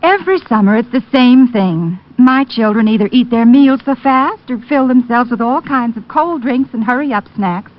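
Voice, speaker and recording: A woman acting as an earnest American mother in an old radio advertisement. Her voice is fairly high and neatly scripted. The sound is dull, like an aged broadcast recording. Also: animated, normal speed, hardly any pauses, close to an everyday voice. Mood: concerned. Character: concerned, earnest mother with a household problem. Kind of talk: 1940s-50s radio commercial acting.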